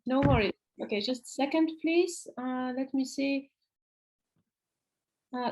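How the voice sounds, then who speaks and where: A woman with a high voice moderates a question session over a video call. She reads out audience questions in a friendly, accommodating tone. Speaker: event coordinator and moderator. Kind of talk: moderating a Q&A, reading chat questions aloud.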